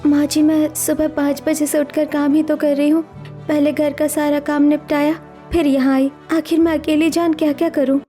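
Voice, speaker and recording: A woman's voice: sits high, flat delivery, moderate pace, dark tone, faint music behind, lightly acted. A woman playing a meek, obedient young village daughter-in-law in a cartoon story, speaking submissively. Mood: pleading, distressed. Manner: submissive, pleading. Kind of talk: dramatic Hindi cartoon story acting.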